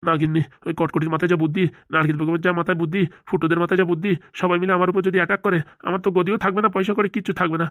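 A woman's voice: fairly low pitch, flat delivery, rapid-fire, few pauses, dry recording, highs cut off, lightly acted. A woman voicing an anxious, complaining male politician in a cartoon. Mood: worried. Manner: fretful, complaining. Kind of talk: cartoon voice acting.

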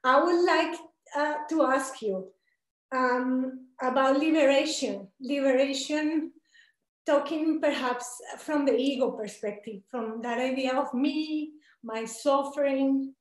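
A woman's voice: sits high, takes it slowly, echoey room, rough recording. A young woman from Colombia with a Colombian Spanish accent, asking an earnest, hesitant question on a video call from a small room at home. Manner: earnest, hesitant, with many fillers. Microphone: video call laptop.

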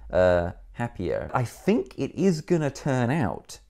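Guy being interviewed, warm mellow voice, really animated pitch, hesitant but emphatic. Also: takes it slowly, gravelly. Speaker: activist spokesman being interviewed. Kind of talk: conversational interview answer. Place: indoor sitting room with bookshelves.

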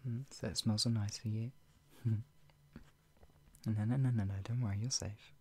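An actor performing an intimate roleplay as a doting, playful, teasing lover, murmuring with soft hums, giggly hesitations and drops into whispers. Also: mid-range voice, fairly even intonation, moderate pace, a little hiss or hum, lightly acted. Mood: soothing, playful. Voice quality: breathy, husky, often dropping into whispers. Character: doting, playful, flustered lover tenderly caring for an ill pet-named partner.

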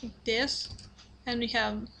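A young woman from Italy with an Italian accent, talking through a drawing tutorial in halting English, apologetic and full of fillers and restarts. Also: a little high, little pitch movement, vocal fry, pushed and bright, slight background noise. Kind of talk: hesitant screen-recorded drawing tutorial. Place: at a computer, indoors.

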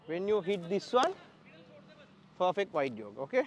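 High-voiced Sri Lankan coach barking short drills. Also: male voice, very animated, moderate pace. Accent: Sri Lankan English. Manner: short direct instructions in broken English, laughs often. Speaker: bowling coach. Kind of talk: coaching at net practice. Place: outdoor cricket nets at a training ground. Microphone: clip-on mic.

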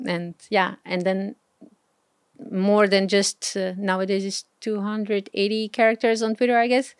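Soft-spoken Portuguese woman, dry sound. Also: mid-range voice, flat delivery, a little slow, clear, resonant tone, no room sound. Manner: soft-spoken, hesitant, laughs often. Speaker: guest, travel blogger. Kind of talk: casual interview chat.

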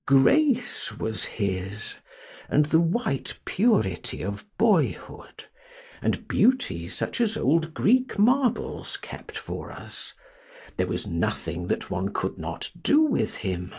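A man reading a literary audiobook as a dramatic narrator, in a theatrical, expressive and deliberate manner. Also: fairly low pitch, vocal fry, breathy edge.

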